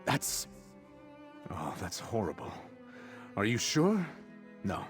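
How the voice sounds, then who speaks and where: A man's voice: on the low side, huge pitch swings, takes it slowly, soft background music, full character voice. A man playing a weary, grave fort commander in a fantasy video game, speaking with somber authority. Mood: dismayed, weary.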